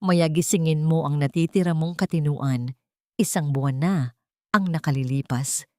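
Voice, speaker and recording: A woman's voice: deep voice, normal speed, warm tone, no room sound, clean studio sound, lightly acted. A woman storyteller voicing a harsh, contemptuous middle-aged aunt who scolds in a dramatic story. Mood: angry scorn.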